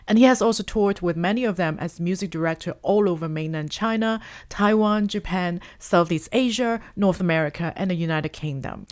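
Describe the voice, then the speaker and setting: A woman's voice: lowish voice, fairly quick, hardly any pauses, darkish tone, dry recording, clean studio sound. A woman hosting a conversational interview in a polite, attentive manner. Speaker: interview host.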